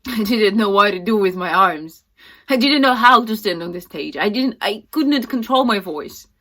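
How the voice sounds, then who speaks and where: A woman playing a fragile, distraught young actress in a dramatic, halting stage monologue. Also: on the low side, fairly even intonation, heavily acted. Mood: agitated despair. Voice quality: breathy, often dropping to whispers. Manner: halting, intense, swinging from hushed to loud.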